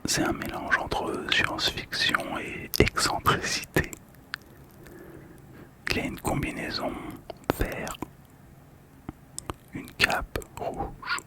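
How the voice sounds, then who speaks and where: A man hosting a relaxation video in a soft, calm, breathy whisper, telling stories in ASMR style. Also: slow, pauses often. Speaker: host of a relaxation channel about collectible cards.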